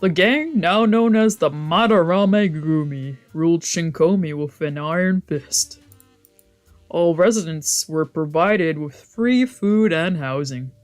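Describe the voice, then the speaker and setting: A casual game streamer with a mid-pitched voice. They read story text aloud and break off into loose, sweary commentary and exclaimed reactions. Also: slow pace, faint music behind. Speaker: streamer doing a let's play.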